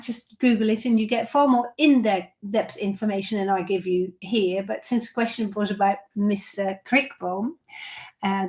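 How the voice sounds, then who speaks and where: A woman from the Netherlands, a violin teacher, chatting through viewer questions on a live stream, with many fillers and restarts. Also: a little high.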